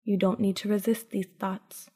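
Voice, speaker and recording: A woman narrating a scripted guided sleep meditation in a soothing, hushed, unhurried manner with long pauses. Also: mid-range voice, little pitch movement, slightly rough.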